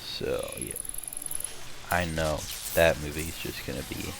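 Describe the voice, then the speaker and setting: A young man with a slightly raspy voice, chatting casually and rambling while commenting on his gameplay. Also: very deep voice, unhurried, noisy background. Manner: rambling, chatty, many restarts and fillers. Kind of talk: casual let's-play chat.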